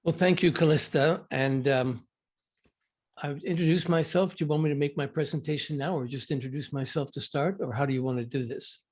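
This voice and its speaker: Older Canadian man giving a measured talk. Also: fairly high pitch, fairly even intonation, a little mellow. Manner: measured, deliberate, with fillers. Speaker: law professor and former politician, panelist. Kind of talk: formal panel presentation.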